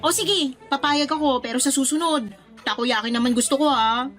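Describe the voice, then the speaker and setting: A woman's voice: high-pitched, normal speed, a bit bright, faint music behind. An actress playing a young woman, a teasing, exasperated but loyal best friend in a radio drama, speaking colloquially and expressively. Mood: bargaining.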